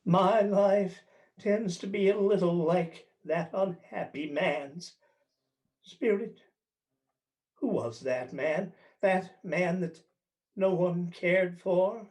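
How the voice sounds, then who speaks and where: An actor playing a fervent, repentant old miser in a radio play. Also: male voice, high-pitched, slow pace, a little mellow. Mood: frightened pleading. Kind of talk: stage-style radio play acting. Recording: clearly noisy background ambience.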